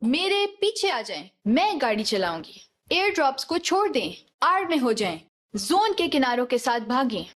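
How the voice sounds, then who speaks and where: A woman's voice: sits high, big pitch swings, normal speed. A woman voicing a female soldier squadmate in a shooter game, giving short, clipped tactical commands. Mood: commanding. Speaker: game voice pack announcer.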